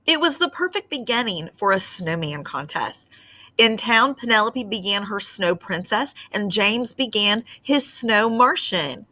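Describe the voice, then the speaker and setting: A woman teacher reading a picture book aloud to her class in an expressive, sing-song way. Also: medium pitch, unhurried. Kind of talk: storybook read-aloud for children.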